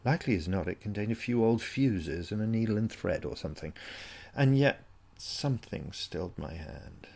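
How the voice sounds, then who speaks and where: A man with a gravelly, husky voice narrating an eerie first-person story in a brooding, measured, suspenseful way, like a dramatic audiobook. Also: fairly low pitch, lively intonation, a little mellow.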